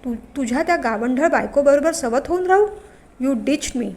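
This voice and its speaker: A woman's voice: high voice, lively intonation, moderate pace, darkish tone. A woman voicing a scornful young city woman who talks sharply.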